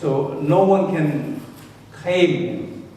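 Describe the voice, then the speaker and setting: A Cambodian-accented man with a mellow, rounded voice speaks as a preacher, pausing after short phrases for an interpreter. The sound is distant, washed in heavy hall echo. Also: on the high side, lively intonation. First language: Khmer. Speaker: guest preacher. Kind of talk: prayer and sermon delivered in short phrases with pauses for an interpreter. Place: large echoey indoor room. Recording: echoey reverberant hall from far off, faint noise.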